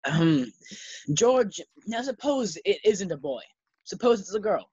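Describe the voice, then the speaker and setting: A man playing a sensible friend with a husky voice and dry, sarcastic delivery in an old-time radio sitcom style. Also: high-pitched, sing-song, a little slow, a little mellow, no room sound, heavily acted. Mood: dry, teasing. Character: dry, sensible male friend needling an overexcited pal. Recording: slightly dull, muffled sound.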